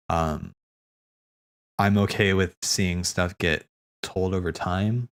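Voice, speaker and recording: A man streaming casual, rambling commentary, thinking aloud as he hosts, often repeating his words. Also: deep voice. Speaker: streamer hosting a watch party.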